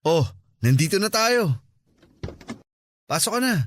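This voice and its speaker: High-voiced, insistent lovesick man. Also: sing-song, unhurried, a bit bright, a little hiss or hum, full character voice. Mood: coaxing, insistent. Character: insistent, lovesick male friend pursuing married woman. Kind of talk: Filipino radio drama acting.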